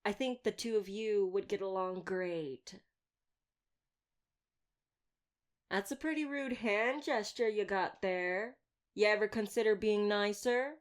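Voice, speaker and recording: A woman's voice: mid-range voice, pitched above the performer's own voice. A woman playing a wry, talkative vampire hunter in an intimate roleplay audio drama, glib and teasing with a caring side. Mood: sardonic. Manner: glib, flirtatious, sardonic banter.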